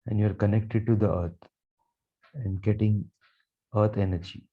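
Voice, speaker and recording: A man guiding a meditation with affirmations in a slow, calm, soothing delivery with long pauses. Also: lowish voice, slightly rough. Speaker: meditation guide, Reiki teacher. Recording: dull, muffled lo-fi sound.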